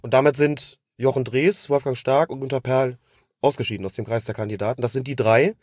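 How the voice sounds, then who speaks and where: A man's voice: medium pitch, steady tone, brisk, darkish tone, no echo, clean studio sound. A man with a slightly congested voice from a cold, giving casual, wordy, considered football commentary on a podcast. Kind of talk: casual analytical podcast talk.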